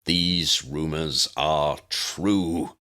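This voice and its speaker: A man acting a low-voiced, impassioned wizard demagogue. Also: steady tone, bright, forceful tone, full character voice. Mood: indignant, urgent. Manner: rhetorical, measured phrases with emphatic stresses and dramatic pauses. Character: charismatic male wizard demagogue rallying a meeting, impassioned and grave. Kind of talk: political rally speech in an audiobook.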